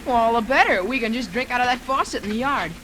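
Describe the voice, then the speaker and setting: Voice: mid-range voice, animated, quick pace, close to an everyday voice. A performer playing an eager, nosy young boy in an old radio drama, colloquial and pushy. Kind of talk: 1940s radio acting. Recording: noisy old radio recording.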